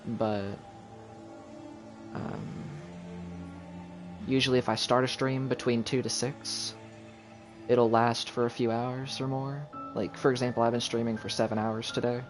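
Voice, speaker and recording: A man's voice: medium pitch, fairly even intonation, measured pace, long pauses. A man streaming a video game, chatting casually and thinking aloud as he plays. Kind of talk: casual gameplay commentary. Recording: game music loud behind.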